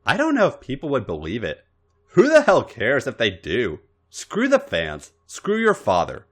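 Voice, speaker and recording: A man's voice: mid-range voice, wildly swooping pitch, normal speed. A man voicing an angry but tender wrestling boss in a romance story, with emphatic, intense delivery. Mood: indignant, protective. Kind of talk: dramatic fan fiction reading.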